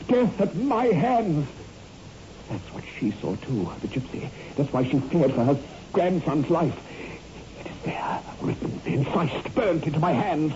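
An actor playing an anguished, haunted surgeon in a 1960s radio horror drama, speaking in a halting, melodramatic way. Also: male voice, fairly high pitch, warm tone. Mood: frenzied despair. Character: anguished surgeon obsessed with a fatal prophecy. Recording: muffled, noisy old radio recording.

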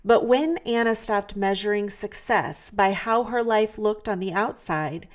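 A woman's voice: medium pitch, a little slow. Woman hosting a coaching podcast. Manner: reads a prepared introduction, then asks questions conversationally. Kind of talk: interview podcast.

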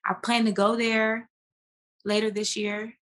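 A woman guest talking casually and informally in an interview, slangy and animated as she tells stories and quotes herself. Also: mid-range voice, unhurried. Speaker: podcast guest, actress and business owner.

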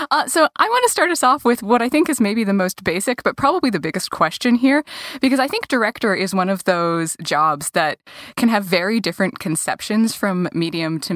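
A woman's voice: mid-range voice, fairly bright tone, dry recording. Woman chatting as interviewer, super up-and-down intonation, dry close sound. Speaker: interviewer, audio drama creator. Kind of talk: conversational interviewing.

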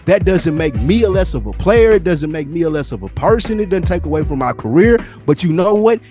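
High-voiced man in heated debate. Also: sing-song, fairly quick, dark tone, soft background music. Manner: emphatic, argumentative, repeats phrases for stress; slangy, profane. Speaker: podcast co-host. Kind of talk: casual heated sports debate.

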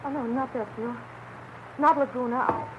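A woman's voice: high voice, moderate pace, lightly acted. An American woman playing a teasing, guarded young artist and sweetheart in a 1940s radio drama. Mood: evasive, uneasy. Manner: teasing, expressive, coy. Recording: noisy, muffled old radio broadcast.

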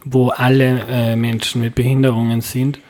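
A man's voice: mid-range voice, level tone, normal speed, a little mellow. A man, hosting a podcast interview, who asks questions in a plain, conversational way. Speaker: podcast host. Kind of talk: interview and reflective closing.

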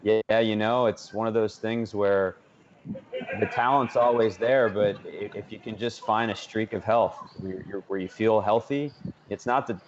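A male sports radio host with a lowish voice, interviewing in a casual style. He talks informally and takes his time getting to the question. The recording sounds slightly dull and lo-fi. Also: fairly even intonation, moderate pace, a crowd in the background.

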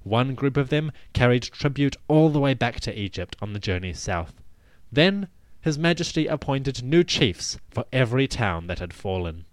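A man hosting and narrating a scripted history piece, with measured, emphatic storytelling. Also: medium pitch, sing-song, no echo. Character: commanding victorious pharaoh addressing his army. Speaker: podcast host and narrator.

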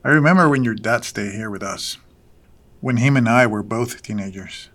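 An actor playing an older farm patriarch in an audio drama, courteous but probing, telling things in a folksy, unhurried way. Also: male voice, on the low side, fairly bright tone. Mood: fond. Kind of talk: naturalistic audio drama dialogue.

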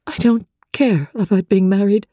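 A woman's voice: mid-range voice, moderate pace, mellow, dry recording, studio quality, close to an everyday voice. A woman playing a devoted, independent young woman, earnest and composed, in a classic novel reading. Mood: calm, dutiful.

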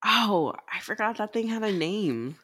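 A woman's voice: mid-range voice, expressive, measured pace, fairly bright tone, husky. A woman co-hosting a podcast, chatting casually in a playful, jokey way. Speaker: podcast co-host. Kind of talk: casual chat.

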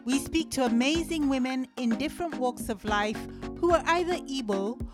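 Higher-voiced woman hosting a podcast. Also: normal speed, over loud music. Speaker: podcast host. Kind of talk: scripted intro then friendly interview.

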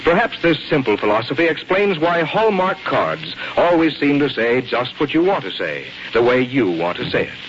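A man reading scripted 1940s radio advertising as the announcer, in a polished, measured sales manner. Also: medium pitch, fairly bright tone. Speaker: radio announcer and sponsor spokesman. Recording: dull 1940s radio, faint noise.

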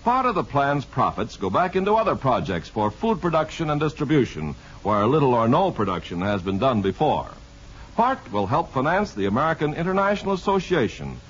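A man's voice: medium pitch, breathy edge. A muffled vintage radio recording of a male announcer reading scripted copy. His intonation swings widely and dramatically. He sounds formal and emphatic, in the manner of 1950s network radio.

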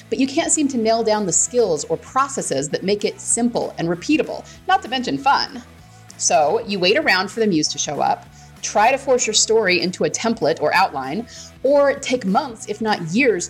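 A woman hosting a writing podcast, speaking as a host and coach to her audience and guests. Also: mid-range voice. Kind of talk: scripted introduction, then casual interview.

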